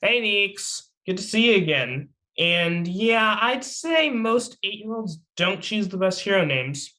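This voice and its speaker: A man's voice: high voice, animated, unhurried, pushed and bright, full character voice. A man playing a chatty, teasing young superhero in an amateur table read, with casual delivery.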